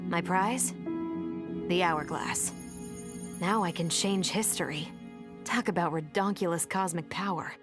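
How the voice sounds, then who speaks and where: A cocky young heroine played by an actress narrates in a slightly low voice, flippant and wry. It is casual, slangy video game storytelling under very loud music. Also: female voice, unhurried, pauses often, close to an everyday voice. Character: cocky, irreverent young female action hero.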